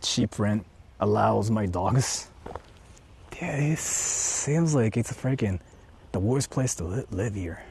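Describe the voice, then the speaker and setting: A young man, walking around outdoors and chatting casually with livestream viewers, often speaking in a hushed, murmuring voice. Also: fairly low pitch, expressive, takes it slowly, gravelly, slight background noise. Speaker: vlogger streaming while exploring. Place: outdoors walking through an empty housing estate.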